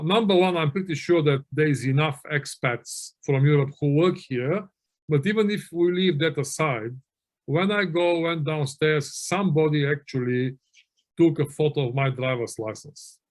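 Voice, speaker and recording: A man's voice: on the high side, moderate pace. Middle-aged man lecturing in a webinar. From Bulgaria. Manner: conversational, many fillers and restarts, asks "okay?". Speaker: webinar presenter on auditing.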